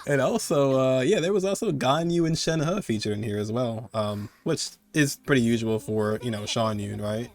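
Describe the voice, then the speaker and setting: A man hosting a gaming countdown video with casual, excited commentary in an informal, rambling and emphatic way. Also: medium pitch, moderate pace, hardly any pauses.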